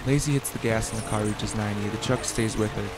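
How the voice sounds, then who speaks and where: A man's voice: lowish voice, steady tone, fast, over music. A man reading a scripted radio play as narrator in an amateur way, with noisy sound effects behind him.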